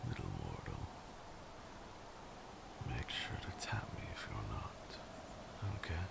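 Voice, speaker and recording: Guy playing a super low vampire lord, slow breathy half whisper, possessive but tender, asmr roleplay. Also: very animated, heavily acted. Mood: tender, controlling. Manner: slow, soothing, condescendingly affectionate.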